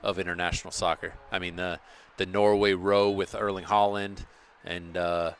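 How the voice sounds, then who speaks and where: Guy doing casual game commentary, kinda low voice, keeps restarting his sentences. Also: flat delivery, moderate pace, clean tone. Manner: rambling, self-correcting, chatty. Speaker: play-by-play commentator.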